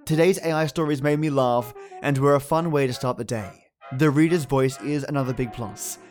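A man's voice: mid-range voice, normal speed, a little mellow. A man hosting a podcast, delivering a scripted introduction in a theatrical way that savours each phrase. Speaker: podcast host. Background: music behind the voice.

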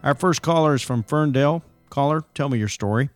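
A man's voice: mid-range voice, a little fast, no room sound, natural voice. Man playing a polished late-night radio host. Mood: inviting, showy. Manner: polished, showman-like presenting. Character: smooth-patter male paranormal late-night AM radio host. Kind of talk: audio drama acting as radio presenter. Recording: faint music under the voice. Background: faint music.

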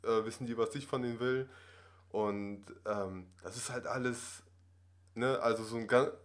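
A man's voice: medium pitch, fairly even intonation, moderate pace. A man in his twenties who grew up in Russia, talking casually as a podcast guest, rambling with many fillers and tag questions. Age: 20s. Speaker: acting student guest.

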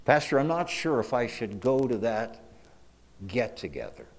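A middle-aged pastor preaching a sermon, repeating his key questions and moving between loud emphasis and quiet asides. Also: medium pitch, sing-song, moderate pace.

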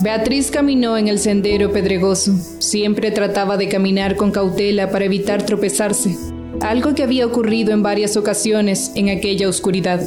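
A woman's voice: mid-range voice, fairly even intonation, moderate pace. Woman narrating radio drama over music.

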